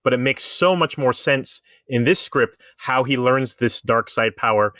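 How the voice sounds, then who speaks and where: A man's voice: mid-range voice, a little slow, sharp tone, no room sound, clean studio sound. A man casually discussing pop culture as a podcast panelist, reasoning things through at length in an explanatory way.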